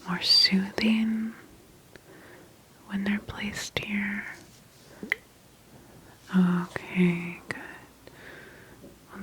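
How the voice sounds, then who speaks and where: A woman whispering softly and gently in an ASMR roleplay as a calm, attentive doctor examining a patient, unhurried and reassuring. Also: fairly low pitch, slight background noise, rough recording. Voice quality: soft, breathy whisper.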